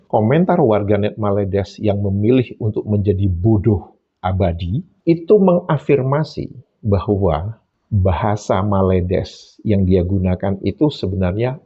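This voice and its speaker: A man delivers solo commentary in a low, husky voice, mocking and emphatic as he repeats points for effect. The recording sounds a little dull and lo-fi. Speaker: YouTube commentator.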